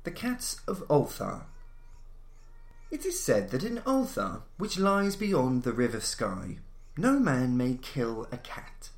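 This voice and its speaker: A man with a mid-pitched voice narrates classic fiction in a roomy, echoing space. His delivery is formal and measured, in the manner of an audiobook reader of old horror tales. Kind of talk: literary story reading, scripted narration.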